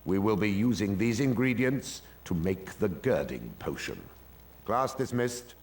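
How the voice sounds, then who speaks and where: A sneering, icy potions master for a video game. He drawls his words slowly in a very deep register, clipped and commanding, as if every pupil bores him. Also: expressive, darkish tone, slight background noise. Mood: cold lecturing. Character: stern cold male potions professor. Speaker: teacher.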